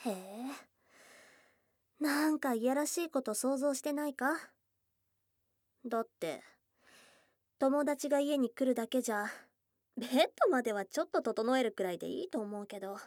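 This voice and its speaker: A woman's voice: high voice, moderate pace, long pauses, darkish tone, no room sound, studio quality, close to an everyday voice. A woman playing a young goblin girl, a blunt, teasing yet shy girlfriend, in an intimate ASMR voice drama. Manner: blunt tomboyish speech, teasing and bashful. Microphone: close dry studio microphone.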